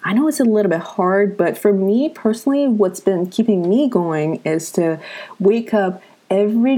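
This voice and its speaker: A woman's voice: on the low side, warm tone. A young woman in her twenties hosting a show, chatting casually to viewers with many fillers while coming down with a cold. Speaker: host.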